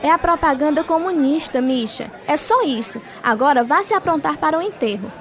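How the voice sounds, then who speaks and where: A woman's voice: sits high, animated, fairly quick, fairly bright tone, some chatter behind. An actress playing a devout, grieving mother and steadfast wife in a radio drama, earnest and sorrowful. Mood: firm, consoling. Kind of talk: radio drama acting and first-person narration.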